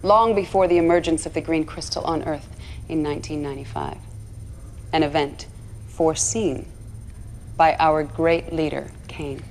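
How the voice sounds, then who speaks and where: An actress playing a zealous propagandist for a militant cult, narrating a game cutscene in an earnest, measured way. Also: female voice, sits low, fairly even intonation, a little hiss or hum. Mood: reverent.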